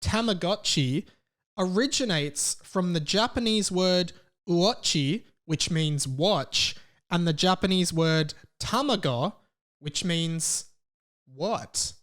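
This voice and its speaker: A man's voice: sits high, expressive, slow, a bit bright. A man in his twenties with an Australian accent, hosting a podcast solo in a casual, rambling way with repeated words for emphasis. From Melbourne, Australia. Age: 20s. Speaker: podcast host.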